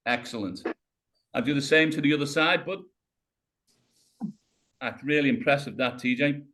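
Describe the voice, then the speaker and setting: A man's voice: medium pitch, moderate pace, a bit bright. Encouraging British man coaching on a video call. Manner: encouraging, talks a client through each movement step by step, says mate. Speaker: rehab coach. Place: home room, on the floor. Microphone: video call webcam.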